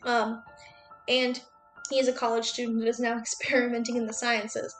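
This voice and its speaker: High-pitched woman chatting on a livestream. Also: flat delivery, normal speed, fairly bright tone, poor audio. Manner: chatty, addressing viewers directly. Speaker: streamer hosting a study-with-me chat stream. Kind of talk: casual livestream chat. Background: music.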